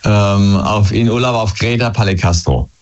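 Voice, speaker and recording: A hoarse man calling in to a radio show while on holiday abroad, rambling through a lively story. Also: lowish voice, normal speed. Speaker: caller. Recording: dull lo-fi phone line.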